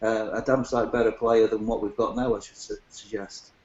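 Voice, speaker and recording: A man's voice: fairly low pitch, fairly even intonation, normal speed, darkish tone. A man giving his opinions as a guest in a casual football fan chat, repeating words as he goes. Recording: roomy, dull lo-fi voice chat.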